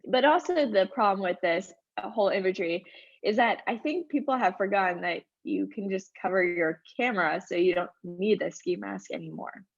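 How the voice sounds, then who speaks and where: A woman's voice: mid-range voice, moderate pace, vocal fry, dry recording, dull audio. A woman giving an upbeat, chatty webinar talk, her voice a little strained by allergies. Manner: upbeat, conversational, chatty asides. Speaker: conference speaker. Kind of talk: webinar presentation.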